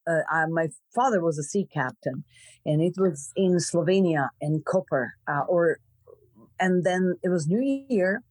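A mature woman from Croatia with a Croatian accent, telling her story as an interview guest in halting, emphatic phrases. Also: fairly low pitch, darkish tone, husky, dry recording. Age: middle-aged or older. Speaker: podcast guest.

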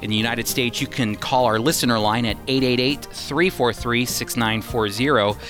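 A male radio host speaks in a friendly, clear voice at a medium pitch. He repeats the details carefully, with faint music playing behind him. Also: fairly even intonation, moderate pace, fairly bright tone. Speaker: radio show host and teacher. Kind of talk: radio announcement.

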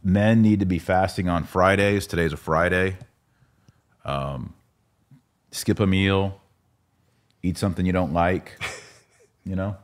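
A man's voice: deep voice, takes it slowly, long pauses. A man hosting a podcast in a casual, conversational way. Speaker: podcast host.

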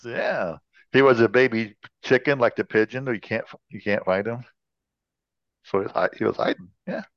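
A man co-hosting a casual comedy chat, telling jokes with slow punchlines and repeating himself. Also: medium pitch, dry recording, dull audio. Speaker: podcast co-host.

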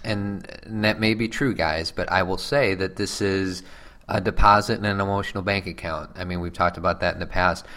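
A man's voice: deep voice, fairly even intonation, brisk, pushed and bright. A man in his thirties co-hosting a podcast, explaining his view in a casual talk. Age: 30s. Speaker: podcast co-host. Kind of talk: casual conversation.

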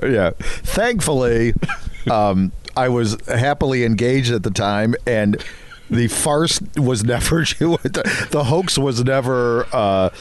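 A middle-aged American man bantering casually as a comedy podcast co-host, with a wry, halting way of talking. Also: mid-range voice, lively intonation, slight background noise.

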